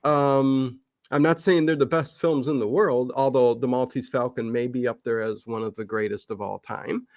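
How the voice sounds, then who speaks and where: A man chatting casually as an interview guest, rambling and halting, often backtracking and repeating himself, with emphatic interjections. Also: mid-range voice, steady tone, a little mellow, no room sound, studio quality. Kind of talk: casual chat.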